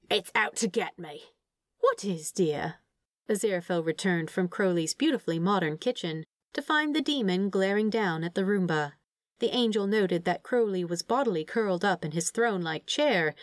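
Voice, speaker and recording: Animated woman narrating a story. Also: mid-range voice, dry recording, clean studio sound. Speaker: fan fiction narrator. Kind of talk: expressive scripted story narration.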